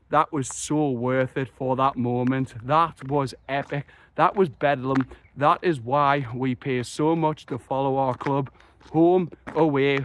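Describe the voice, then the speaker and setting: A young man, croaky after a chest infection, talking passionately and rambling through a football match reaction. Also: fairly high pitch. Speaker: football fan vlogger. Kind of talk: excited solo match reaction.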